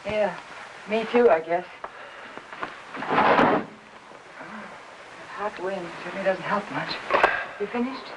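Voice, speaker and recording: An actress playing an anxious, polite, harried American housewife in a 1950s film noir, apologetic and hesitant. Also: female voice, mid-range voice, moderate pace, natural voice. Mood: anxious, placating. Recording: noisy old film soundtrack.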